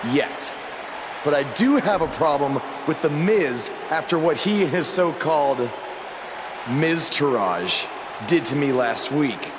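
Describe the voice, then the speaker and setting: An actor playing a fired-up, defiant pro wrestler challenger in a wrestling game. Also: male voice, a little high, expressive, takes it slowly, crowd noise, background noise. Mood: challenging.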